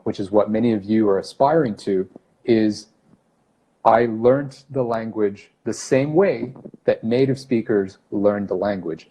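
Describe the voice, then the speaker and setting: A man's voice: lowish voice, dark tone, slightly rough, poor audio. A man in his thirties from Brunei, whose first language is not English, talks casually on a livestream as an English teacher, often correcting himself and greeting viewers by name. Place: small office room indoors. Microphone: phone camera at arm's length.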